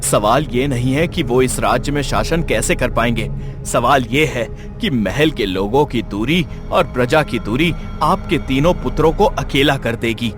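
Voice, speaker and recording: A man playing a grave, respectful royal minister, speaking in a measured, deferential way in an animated folk tale. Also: medium pitch, music in the background, natural voice. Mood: solemn. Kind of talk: animated moral tale voice acting.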